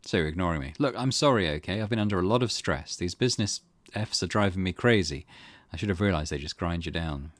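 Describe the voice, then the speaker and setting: Man voicing older male womaniser, visual novel, self-pitying, flattering, coaxing, low pitch, very dry. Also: quick pace, dry recording. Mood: needy, irritable.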